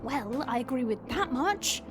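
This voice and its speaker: A woman playing a cocky, mocking female vampire monster in an audio roleplay drama, with a drawn-out, sarcastic delivery. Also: high-pitched, animated, over music. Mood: sardonic, defensive.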